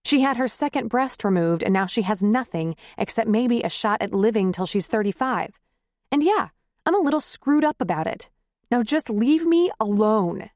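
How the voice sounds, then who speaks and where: A tired, grieving wife who snaps into anger, as a woman reads her, her voice climbing high and strained. The delivery is slow and heavy. The sound is close and completely dry. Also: animated, darkish tone, no echo, lightly acted. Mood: upset. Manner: raised, heated and strained. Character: weary, fed-up wife, grieving and angry. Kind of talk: audiobook character reading.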